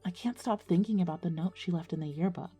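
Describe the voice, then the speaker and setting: A woman's voice: lowish voice, fast, mellow, breathy edge, soft background music. A woman playing an earnest teenage schoolgirl who narrates her own ghost story in an audio drama. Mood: sincere, hopeful. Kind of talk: scripted first-person narration and audio drama dialogue.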